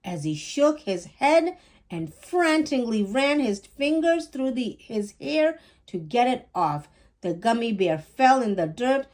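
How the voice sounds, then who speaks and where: A woman's voice: a little high, very animated, a little slow, darkish tone. A woman hosting a children's storytime, reading aloud as a storyteller.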